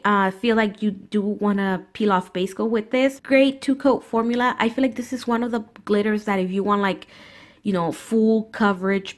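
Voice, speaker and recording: A woman with a stuffy, cold-blocked voice, chatting casually through a nail polish review with plenty of "you know" and "uh". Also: mid-range voice. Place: indoors at a table.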